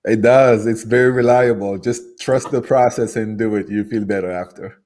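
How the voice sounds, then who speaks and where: A man from Cameroon with a French-Cameroonian accent, first language French, answers interview questions with eager warmth. His voice sits on the low side, and he laughs easily between thoughts. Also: fairly even intonation, moderate pace. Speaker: guest, program facilitator. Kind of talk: interview conversation.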